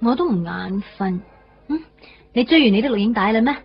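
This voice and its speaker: An actress playing a tender, wistful young woman in a radio drama, speaking softly and sincerely with a Hong Kong Cantonese accent. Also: fairly high pitch, expressive, brisk, slightly rough. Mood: gentle, musing. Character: tender young woman, heart-transplant survivor, newly in love. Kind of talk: radio drama monologue and dialogue. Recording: slightly muffled, faint music underneath.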